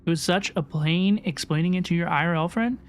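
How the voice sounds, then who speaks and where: A woman's voice: fairly low pitch, brisk. A woman hosting a gaming livestream, chatting casually and often repeating phrases for emphasis. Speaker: livestream host. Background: game music.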